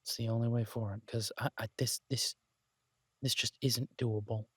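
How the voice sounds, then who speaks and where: A man's voice: medium pitch, fairly even intonation, breathy edge, dry recording, lightly acted. Man playing an exasperated chef boyfriend, close dry sound. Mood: flustered, weary. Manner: grumbling, halting, with exasperated sighs. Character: exasperated restaurant chef, affectionate boyfriend venting to partner. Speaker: audio roleplay performer. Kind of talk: boyfriend audio roleplay, conversational monologue.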